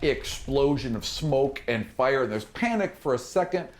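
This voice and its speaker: A man's voice: mid-range voice, lively intonation, moderate pace. A man narrating a fantasy tabletop game as game master, descriptive and informal.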